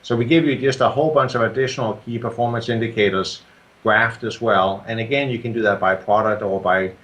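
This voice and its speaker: Danish-accented man explaining his software. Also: on the low side, a little fast. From Denmark. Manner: steady explaining, many ums and restarts. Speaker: software creator, guest. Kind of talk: casual interview explanation.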